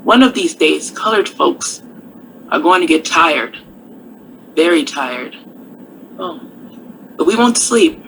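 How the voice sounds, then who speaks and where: A woman's voice: lowish voice, sing-song, slow, long pauses, fairly bright tone, slight background noise, full character voice, low-quality recording. An actress playing a proud, worldly touring woman singer who is self-assured and sassy but turns grave and defiant. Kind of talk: stage radio drama acting.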